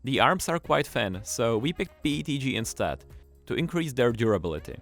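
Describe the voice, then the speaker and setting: Male tutorial narrator, mid-pitched and animated, scripted and enthusiastic, faint music underneath. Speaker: tutorial presenter.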